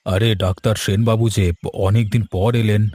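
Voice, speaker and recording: A man's voice: lowish voice, level tone, moderate pace, mellow, lightly acted. A man playing a harried, grumbling householder in a Bengali cartoon, with a Bengali accent. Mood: grudgingly polite. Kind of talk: cartoon voice acting.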